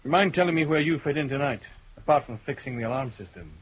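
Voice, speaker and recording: An actor playing a steady police detective in a radio drama, speaking in a level, firm way. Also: male voice, medium pitch, fast, breathy edge. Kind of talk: 1970s radio drama acting. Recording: muffled old radio broadcast.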